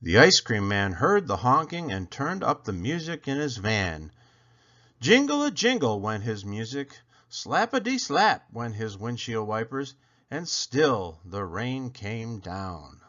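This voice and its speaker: A man reading a children's picture book aloud, expressively and with dramatic pauses. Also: mid-range voice, big pitch swings, pushed and bright, lo-fi sound. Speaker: read-aloud storyteller.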